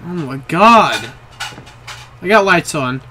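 A young man in a small bedroom, casually commenting on a horror game for viewers, jumpy and excitable, swearing often. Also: on the high side, takes it slowly, soft background music. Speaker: gaming video creator.

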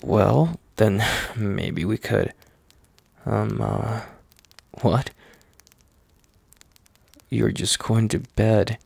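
A low, very gravelly young boyfriend, acted by a man in an ASMR roleplay. He talks slowly and haltingly, sounding tender, anxious and unsure. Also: no room sound, full character voice. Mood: hesitant. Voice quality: husky, gravelly. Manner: halting, hesitant, soft-spoken, sighing.

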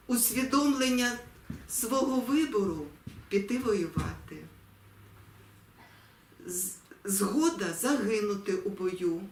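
A woman from Ukraine reading poetry in a solemn, deliberate, expressive way with dramatic pauses. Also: medium pitch. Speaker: poetry reader. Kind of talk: solemn poetry recitation with spoken introduction. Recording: echoey room, distant mic, music behind.

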